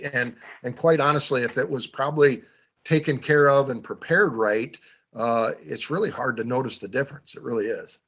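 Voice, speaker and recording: A man's voice: medium pitch, a bit bright. A man hosting a hunting talk show, talking casually with lots of fillers and restarts. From Iowa. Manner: halting, many fillers and restarts. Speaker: radio show host.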